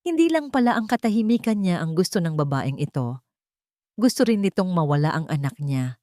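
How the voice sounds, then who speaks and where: Low-pitched woman narrating a drama. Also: sing-song, a little fast, warm tone, no echo, clean studio sound. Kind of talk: scripted dramatic story narration.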